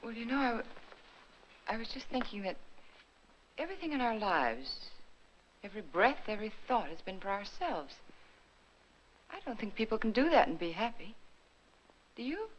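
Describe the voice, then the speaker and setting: An actress playing a devoted, anxious housewife in a 1950s Hollywood melodrama, speaking in a tender, earnest, placating way. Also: female voice, on the high side, sing-song, normal speed, pauses often. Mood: wistful, hopeful. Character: devoted, anxious, gentle housewife soothing sick husband. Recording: noisy vintage 1950s film soundtrack.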